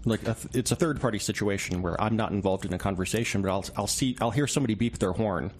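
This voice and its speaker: Low-voiced man, reflective interview guest. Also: fast. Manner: reflective, explains through small anecdotes and quoted examples. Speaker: newspaper reporter, interview guest. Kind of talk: thoughtful conversational interview. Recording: clear background noise and ambience.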